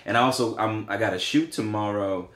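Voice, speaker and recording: Rambling New man, low voice, echoey room. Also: a little fast, a bit bright. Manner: rambling, hesitant, lots of fillers. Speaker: podcast co-host. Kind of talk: casual podcast chat. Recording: roomy echo.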